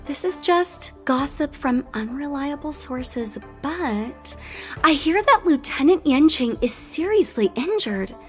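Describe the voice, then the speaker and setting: Game role: inquisitive girl journalist, high voice, polite interviewer patter, faint music bed. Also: animated, takes it slowly. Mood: prying. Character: eager, nosy young female event journalist. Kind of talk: video game voice acting.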